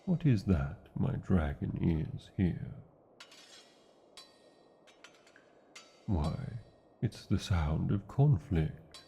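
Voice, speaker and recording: A man's voice: low-pitched, sing-song, slow pace, vocal fry, warm tone, breathy edge, full character voice. A man with a British accent voicing a large, devoted, proud male dragon in a fantasy ASMR romance, speaking tenderly with theatrical, formal wording. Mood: worried, urgent.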